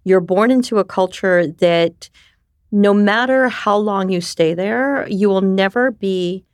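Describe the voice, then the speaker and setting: A middle-aged woman from New York, whose first language was Japanese, telling her life story in a candid, animated way and often tagging on "right?". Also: mid-range voice, takes it slowly, clear, resonant tone, dry recording, studio quality. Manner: animated, frequent restarts and "right?" tags. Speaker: podcast guest.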